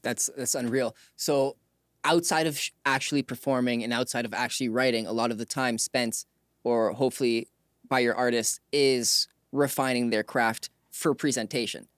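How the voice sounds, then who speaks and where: A man interviewing conversationally as a podcast host, with a measured, reflective way of talking. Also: mid-range voice, fairly even intonation, fairly bright tone, no echo, clean studio sound.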